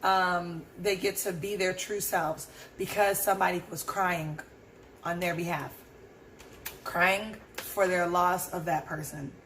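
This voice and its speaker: Hoarse woman musing on her podcast. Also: lowish voice, a bit bright, slight background noise, poor audio. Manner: rambling and earnest, with fillers. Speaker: podcast host. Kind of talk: casual solo reflection.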